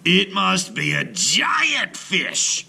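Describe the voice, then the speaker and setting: A man's voice: sits high, huge pitch swings, extremely slow, creaky, slight background noise, voice pushed up, exaggerated voice. A man voicing a jealous, scornful older brother in a storybook read-aloud. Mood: excited shouting. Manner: sneering, growling, shouting.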